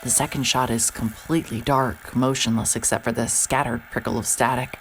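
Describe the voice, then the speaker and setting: A sardonic female private eye with a strikingly low voice. She narrates a horror audio drama in terse, wary noir phrases, as if muttering to herself. Some background ambience sits behind her. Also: steady tone, brisk, slight background noise. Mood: tense, absorbed. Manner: muttering to self, wry, terse. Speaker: lead character and narrator.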